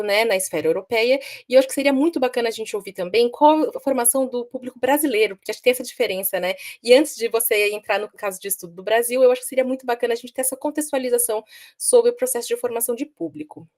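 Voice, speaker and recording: A woman's voice: fairly high pitch, a little mellow. A Brazilian woman giving informal academic commentary, often repeating words as she goes. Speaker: research discussant.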